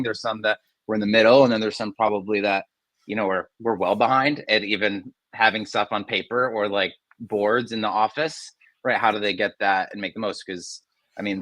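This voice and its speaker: A man's voice: lowish voice, fairly bright tone, no echo, low-quality recording. A man interviewing a colleague in a conversational professional style, informal, with plenty of "kinda" and "you know". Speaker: interviewer, sales executive.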